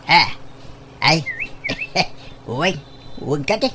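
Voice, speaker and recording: A woman's voice: low-pitched, expressive, very slow, noisy background, exaggerated voice. A woman playing a gossipy, teasing in-law in comedic radio drama, with an Ilocano accent.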